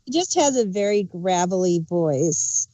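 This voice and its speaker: A woman's voice: on the low side, expressive, a little slow, mellow, no echo. A woman co-hosting a casual podcast, chatting in brief, blunt interjections. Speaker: podcast co-host. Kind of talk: casual chat.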